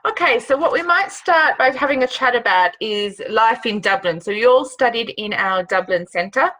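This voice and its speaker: A woman hosts a live online question-and-answer session over a video call. Her voice sits a little high and rings bright and forceful. She guides the students with friendly, measured questions. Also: expressive, low-quality recording. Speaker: host.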